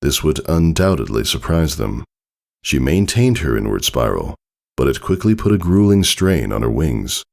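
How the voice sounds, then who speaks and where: A man's voice: very deep voice, big pitch swings, normal speed, gravelly, darkish tone. A man reading a story aloud as a scripted narration. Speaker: fan fiction reader.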